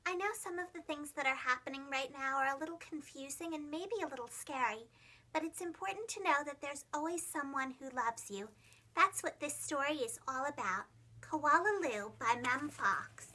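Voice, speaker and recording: A woman reading a children's storybook aloud like a preschool teacher, expressive and dramatic, speaking carefully for young listeners. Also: sits high, moderate pace, low-quality recording. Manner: animated, dramatic, gentle enunciation for young children.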